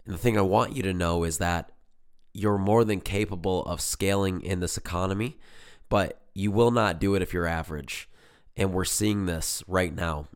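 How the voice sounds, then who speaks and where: Male podcast host, very low voice, hoarse from illness, chatty and earnest. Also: moderate pace. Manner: rambling, filler-heavy, earnest. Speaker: podcast host and business coach. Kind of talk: casual solo podcast talk.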